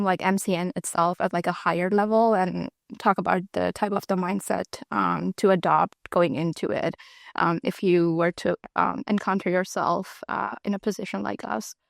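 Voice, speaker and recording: A woman's voice: medium pitch, creaky, dry recording, studio quality. A young adult woman co-hosting a casual work chat, hesitant with lots of ums and likes. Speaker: co-host, marketing ops.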